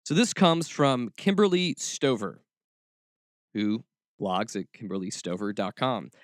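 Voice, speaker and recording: A man's voice: lowish voice, a little slow, dry recording, studio quality. A man hosting a talk show, giving casual commentary peppered with wry, sarcastic asides. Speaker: podcast host.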